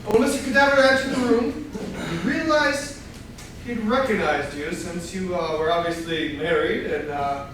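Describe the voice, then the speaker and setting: Man acting high-voiced skeptical sleuth. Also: animated, normal speed. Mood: suspicious. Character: skeptical male amateur sleuth, questioning and impatient. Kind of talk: comedy staged reading. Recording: distant mic, echoey room, faint noise.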